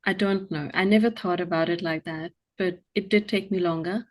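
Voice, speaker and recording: A woman's voice: fairly low pitch, steady tone, moderate pace. Middle-aged woman with a mixed Indian-Irish accent. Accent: mixed Indian, Irish and Australian. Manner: thoughtful, hesitant, with soft fillers. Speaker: interview guest. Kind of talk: reflective interview answers.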